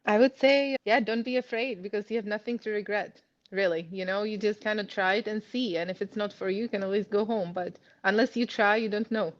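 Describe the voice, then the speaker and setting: A woman's voice: mid-range voice, steady tone, brisk. Czech-accented woman, chatty, lo-fi sound. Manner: chatty, laughs often, many "like" and "you know" fillers. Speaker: guest. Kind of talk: casual interview.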